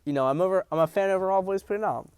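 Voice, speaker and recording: A man's voice: high-pitched, very fast, a little mellow, clear, resonant tone, no echo, clean studio sound. A man hosting a political commentary stream, talking bluntly and emphatically, swearing freely and often restarting his sentences. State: hiccups. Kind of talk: profane livestream commentary.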